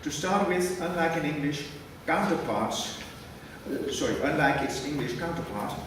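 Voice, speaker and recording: Man, formal conference lecture, measured prepared reading, distant mic, big reverberant hall, audible background noise. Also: fairly high pitch, expressive. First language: Dutch. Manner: measured, reads a prepared text, precise wording. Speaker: academic lecturer. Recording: echoey hall from far off with noise.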